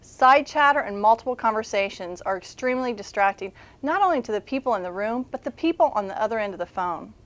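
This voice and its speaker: A woman's voice: a little high, animated, quick pace, fairly bright tone. A woman presenting as a show correspondent, answering viewer questions in a polite, instructive, scripted delivery.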